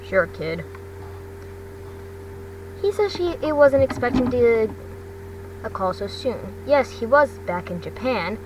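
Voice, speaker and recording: Female amateur storyteller, higher-pitched, halting delivery with repeats, recorded off-mic with clear background noise. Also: lively intonation. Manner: halting, stumbling, repeats phrases. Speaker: fan fiction narrator. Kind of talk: amateur storytelling read aloud. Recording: distant mic, noisy background hum.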